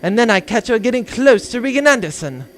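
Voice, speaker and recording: A man's voice: extremely high pitch, lively intonation, fairly quick, a bit bright, a little hiss or hum. A man voicing a self-pitying, pompous bounty hunter villain in a comic audio drama, full of aggrieved, long-winded speech.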